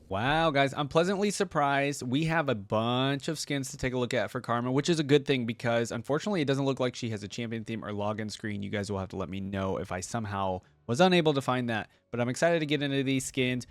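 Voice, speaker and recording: A man's voice: mid-range voice, lively intonation, fast, hardly any pauses, no echo. A man hosting a reaction video, giving casual, conversational commentary and thinking aloud as he gives his opinions. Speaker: host of a fan reaction video. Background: music.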